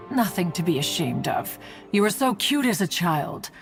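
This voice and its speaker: A lofty ancient spirit. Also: female voice, on the low side, sing-song, measured pace, gravelly, music in the background, exaggerated voice. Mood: stately, teasing. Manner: formal archaic speech, calls herself "one". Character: ancient crane spirit, aloof, archaic and teasing. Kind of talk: game dialogue.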